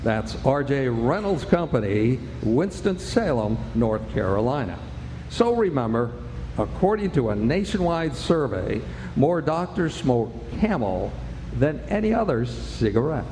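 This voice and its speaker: Man playing an animated 1940s radio announcer, echoey hall. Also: medium pitch, measured pace. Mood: earnest selling. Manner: polished salesman delivery, emphatic. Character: upbeat 1940s radio announcer pitching products. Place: live stage performance in a large indoor space. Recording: echoey hall, faint background noise.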